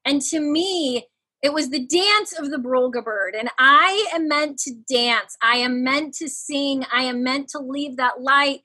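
Woman, 40s, high voice, bright tone, coach, casual podcast chat, laughs often. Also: unhurried. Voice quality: higher-pitched, youthful-sounding. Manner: expressive, laughs often, animated.

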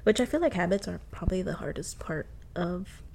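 Gravelly-voiced young woman co-hosting a podcast. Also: lowish voice, lively intonation, fairly quick, a little mellow, a little hiss or hum. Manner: laughs often, swears casually. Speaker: podcast co-host. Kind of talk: casual chat.

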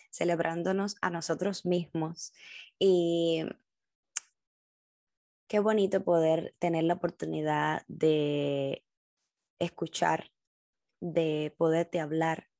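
Cuban woman podcasting solo, reflective and heartfelt, bit of fry in a lowish voice, dry sound. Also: darkish tone, no room sound. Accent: Cuban Spanish. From Cuba. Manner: reflective and heartfelt, with thoughtful pauses. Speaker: podcast host.

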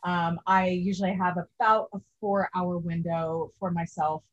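Husky woman in her forties, lo-fi. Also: on the low side, steady tone, a little slow. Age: 40s. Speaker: guest. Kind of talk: casual interview chat.

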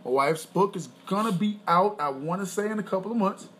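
A man co-hosting a podcast with his wife, talking in a loose, boastful, rambling way and clearing his throat often. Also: high-pitched, a little fast, fairly bright tone, a little hiss or hum. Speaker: podcast co-host. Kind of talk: casual chat.

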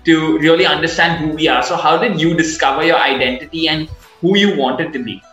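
Man, podcast host, bright forceful voice, slightly high pitch, conversational interviewing, roomy echo. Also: brisk, faint music behind.

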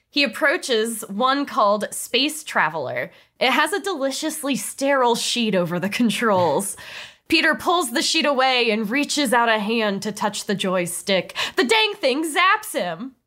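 A woman's voice: on the high side, big pitch swings, a little slow, bright, forceful tone. A woman narrating a comedy script table read playfully, with casual, amused asides.

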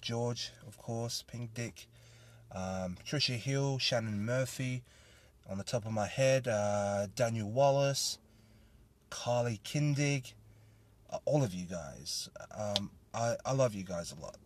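A man's voice: medium pitch, lively intonation, slow pace, darkish tone, dry recording. A young Australian man hosting a solo podcast, talking in an earnest, rambling and grateful way. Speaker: podcast host. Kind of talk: casual solo podcast monologue.

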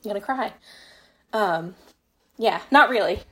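A woman's voice: medium pitch, animated, measured pace, sharp tone. A woman guest on a podcast, chatting casually in a self-deprecating way and often quoting exchanges as she tells stories. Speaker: podcast guest, fan fiction author. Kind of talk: casual conversational storytelling.

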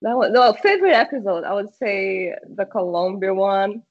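A Brazilian woman speaking English as a second language, a podcast guest in casual chat, pausing often to search for words. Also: mid-range voice, expressive, no echo. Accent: Brazilian Portuguese. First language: Portuguese. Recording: muffled lo-fi call audio.

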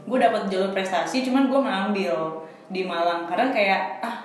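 A woman's voice: mid-range voice, fairly quick. A woman in her twenties, a podcast guest casually telling her story in slangy talk with English phrases mixed in. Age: 20s. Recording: very echoey room with faint noise.

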